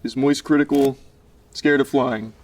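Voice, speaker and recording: A man's voice: medium pitch, fairly even intonation, unhurried, darkish tone, distant mic. A man reading interview questions aloud in a plain, matter-of-fact way.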